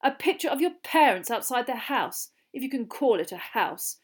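A woman's voice: on the high side, expressive, moderate pace, husky, pitched above the performer's own voice. Woman as sneering, snobbish schoolboy bully. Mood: sneering, mocking. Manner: sneering, taunting.

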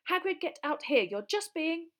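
A woman voicing a fierce, hot-tempered teenage schoolgirl with a shrill, emphatic delivery in a story reading. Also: moderate pace. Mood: urgent, indignant.

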